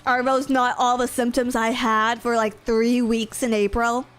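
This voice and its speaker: Slow, chatty, sniffly young woman. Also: fairly high pitch, level tone, a bit bright, faint music behind. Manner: chatty, giggly, rambling with many fillers. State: sniffly from allergies. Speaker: streamer. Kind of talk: casual chat with viewers.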